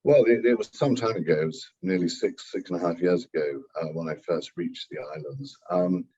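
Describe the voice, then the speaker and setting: A business executive, a man, giving measured, formal interview answers. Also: sits low, dark tone, slightly rough. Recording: dull lo-fi sound.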